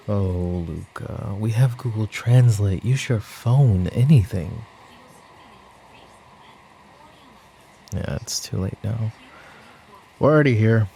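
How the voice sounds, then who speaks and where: A man playing a weary, exasperated mafia boss in an ASMR audio drama, talking in a dry, halting way. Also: fairly low pitch, gravelly, warm tone, a little hiss or hum. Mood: dry exasperation. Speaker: voice actor in audio roleplay.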